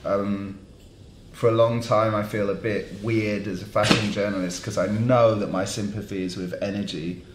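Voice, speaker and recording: A man's voice: lowish voice, steady tone, normal speed, echoey room, background noise. A man, a fashion journalist, hosting a panel discussion with rambling restarts. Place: dark studio around a table.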